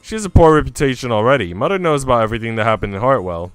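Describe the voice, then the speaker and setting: A cruel young lady of the house played by a man speaks with imperious, taunting swagger. The delivery is animated and clearly articulated, in visual novel style with music behind it. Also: mid-range voice, very fast, no room sound, natural voice. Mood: threatening. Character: cruel, haughty young noble lady, smug bully.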